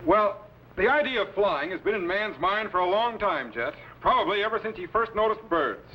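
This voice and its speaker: A man's voice: high voice, animated, normal speed. An actor playing a confident, fatherly space captain in a 1950s sci-fi serial, explaining things with genial authority. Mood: instructive. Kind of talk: 1950s television serial acting. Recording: dull, faintly noisy old soundtrack.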